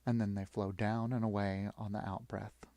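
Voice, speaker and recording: Male meditation guide, fairly low voice, reassuring delivery in short spaced phrases, recorded very dry. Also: level tone, dark tone, no echo. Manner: soothing, encouraging, with long pauses. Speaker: yoga nidra guide.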